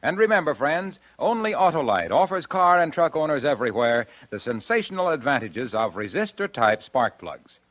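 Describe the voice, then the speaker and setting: A man's voice: fairly high pitch, very animated, normal speed, hardly any pauses, a bit bright. A man announcing in 1940s radio style, delivering scripted advertising with polished sales patter. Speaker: radio announcer and sponsor pitchman. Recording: old radio broadcast, faint music.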